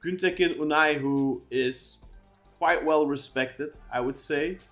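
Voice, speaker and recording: A man's voice: fairly high pitch, slow pace, fairly bright tone, soft background music. Rambling man on a football podcast. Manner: talks at length, many restarts and fillers. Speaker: podcast co-host. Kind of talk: casual football podcast chat.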